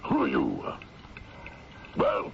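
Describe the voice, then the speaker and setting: An actor playing an imposing, gruff, gluttonous frontier banker who growls curtly in a radio drama. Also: male voice, drawn-out words. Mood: irritated, imperious. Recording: muffled, noisy old radio drama recording.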